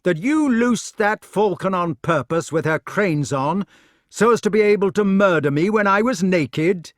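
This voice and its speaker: A man's voice: high-pitched, animated, measured pace, no room sound, clean studio sound, pitched above the performer's own voice. A man voicing an earnest, courteous knight in a storybook, formal and indignant as he protests. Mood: aggrieved, resolute.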